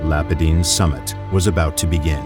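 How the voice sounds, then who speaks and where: A man narrating an audio drama in a calm, measured way. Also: a bass voice, over music. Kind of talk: scripted narration.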